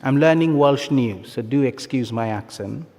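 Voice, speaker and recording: A man giving a formal, encouraging graduation address as a university official, in earnest, measured phrases with emphatic pauses. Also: medium pitch, darkish tone. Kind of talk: formal ceremonial speech. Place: large conference hall, live graduation ceremony. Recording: long reverb of large hall PA.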